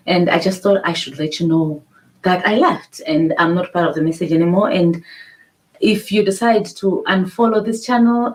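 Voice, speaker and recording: A woman's voice: medium pitch, rough recording. Earnest woman speaking to her followers. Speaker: video creator. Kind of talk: direct-to-camera personal talk.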